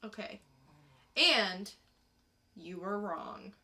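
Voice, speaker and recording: A woman's voice: mid-range voice, animated, very slow, pushed and bright. A woman co-hosting a podcast, telling stories in casual conversational banter. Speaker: podcast co-host.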